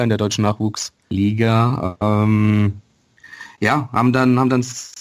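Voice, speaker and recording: A man's voice: lowish voice, dry recording. A man co-hosting a casual sports podcast, matter-of-fact and hesitant, with many fillers. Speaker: podcast co-host.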